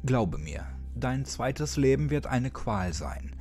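A man narrating a horror story as a dramatic audiobook, addressing the listener ominously with pauses and occasional near-whispers. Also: medium pitch, big pitch swings, dark tone. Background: music.

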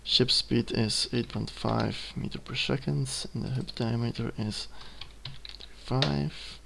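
A young man in his twenties, a native Dutch speaker with a Dutch accent, hesitantly talking through a screen-recorded software tutorial, with many ums and self-corrections. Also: mid-range voice, steady tone, slow pace, vocal fry, slight background noise. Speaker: student giving a tutorial. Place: at a computer, indoors.

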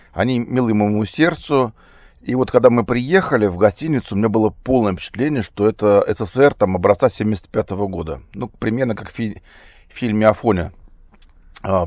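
A man with a slightly hoarse, cold-affected voice giving a casual, halting, off-the-cuff vlog monologue. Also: on the low side, darkish tone, no echo. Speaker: vlogger. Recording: dull, muffled lo-fi recording.

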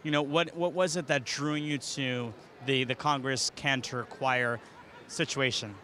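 A man's voice: medium pitch, steady tone, measured pace, sharp tone. A man in his thirties, interviewing guests with a handheld mic in a large indoor arena, upbeat and chatty. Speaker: event interviewer. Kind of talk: live event interview.

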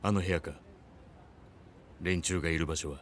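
Man as a very deep, commanding samurai. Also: fairly even intonation, normal speed, slight background noise, natural voice. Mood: controlled. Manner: terse, commanding. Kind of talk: dramatic video game acting.

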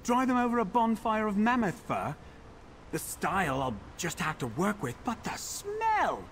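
A man's voice: high-pitched, huge pitch swings, a little slow, fairly bright tone, slight background noise, full character voice. An actor voicing a pampered, indignant male elf in a fantasy game, complaining in a prissy, theatrical way. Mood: sardonic.

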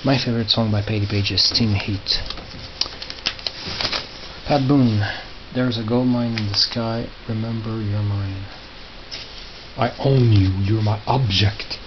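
A middle-aged man giving casual, halting commentary as he reads out titles and mutters asides. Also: fairly even intonation. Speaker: record collector showing his collection. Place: small tiled indoor room by storage crates. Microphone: handheld camera held by the speaker. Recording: muffled, noisy low-grade camera audio with clicks.

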